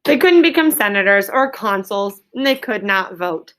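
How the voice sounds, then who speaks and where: A woman's voice: medium pitch, animated, normal speed, fairly bright tone. A woman teaching an elementary class in a question-and-answer style, directing pupils and repeating their answers back to confirm them.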